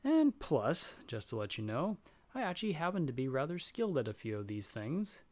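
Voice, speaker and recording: A man's voice: a little high, very animated, normal speed, a little mellow. A man playing a nervous, self-doubting young friend in an audio roleplay, chatting casually and rambling with self-deprecating humor. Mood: playfully indignant.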